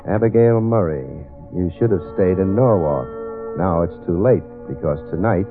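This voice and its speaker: An actor playing a wry, low-voiced private eye. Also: male voice, normal speed, natural voice. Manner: wry, hesitant with many "uh"s. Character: wry hard-boiled male private detective. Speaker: radio drama actor and narrator. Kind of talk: 1940s radio acting. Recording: tinny old radio broadcast with music.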